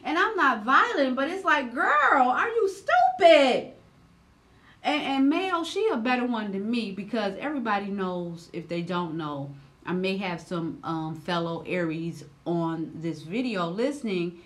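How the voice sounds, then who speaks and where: A woman in her thirties doing casual, slangy reaction commentary, loud and expressive, her voice swinging high when riled, recorded seated indoors on a camera. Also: measured pace. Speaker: reaction vlogger.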